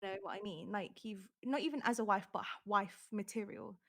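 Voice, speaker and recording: Podcast co-host, woman, middle-pitched, earnest, unhurried. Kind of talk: casual panel chat.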